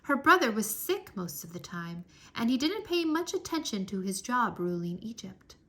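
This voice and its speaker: A woman reading a history book aloud for children in an expressive, deliberate storytelling manner. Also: on the high side. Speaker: read-aloud narrator.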